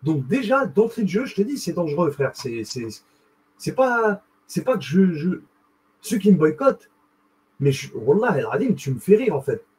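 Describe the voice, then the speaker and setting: A young man with a husky voice, talking casually and excitedly to his viewers in a rambling, slangy way. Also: sits high, moderate pace. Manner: rambling, animated, slangy, addresses viewer directly. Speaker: video host. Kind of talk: casual explanatory monologue.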